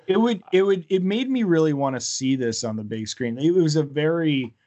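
A man's voice: on the high side, expressive, moderate pace, warm tone. A man co-hosting a casual podcast book review, explaining things in a loose conversational way. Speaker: podcast co-host.

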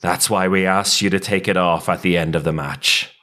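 Man putting on an outraged, declaiming sports fan, forceful. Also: deep voice, fairly even intonation. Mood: indignant. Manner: melodramatic, emphatic, slow declaiming. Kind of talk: dramatic reading.

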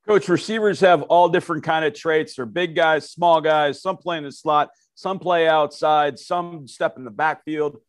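Higher-voiced, enthusiastic male co-host. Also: moderate pace, few pauses, no echo, clean studio sound. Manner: enthusiastic, long winding questions. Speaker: co-host interviewer. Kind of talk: sports interview questions.

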